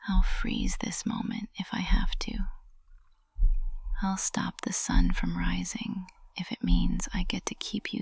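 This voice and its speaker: Woman acting a breathy, whispering witch teacher in love. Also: lowish voice, no room sound, full character voice. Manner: slow, hushed, intimate, adoring. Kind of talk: intimate ASMR whispered roleplay. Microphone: close ASMR microphone.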